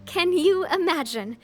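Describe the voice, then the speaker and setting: A woman's voice: very high-pitched, darkish tone, exaggerated voice. An actress playing a cheerful, caring young noblewoman in a video game, speaking in a friendly, sincere way. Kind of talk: English video game voice acting.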